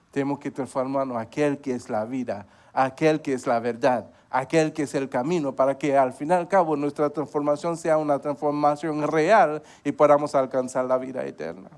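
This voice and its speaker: A man preaching a sermon, punctuating his points with amens, rising in emphasis and then dropping low. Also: darkish tone. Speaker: pastor.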